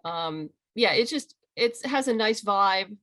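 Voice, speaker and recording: A woman's voice: mid-range voice, lively intonation, a little slow, fairly bright tone. A woman being interviewed as a writer and creator, talking casually and enthusiastically on a video call. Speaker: interviewed comic book writer and audio drama creator.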